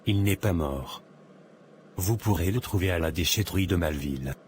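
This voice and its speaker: A very deep male voice with faint noise in the background. A man acts a troubled young man in an animated drama, brooding and grave in delivery. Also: normal speed, close to an everyday voice. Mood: bitter, resolute. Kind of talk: dramatic dialogue in an animated short.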